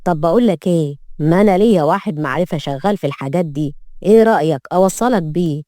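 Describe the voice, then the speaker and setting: A woman's voice: lowish voice, quick pace, warm tone, dry recording, natural voice. A woman voices a practical Egyptian man, a loyal pal in an audio story. The delivery is familiar and easygoing, and the recording is dry. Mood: helpful. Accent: Egyptian Arabic. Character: easygoing, helpful male friend of a businessman.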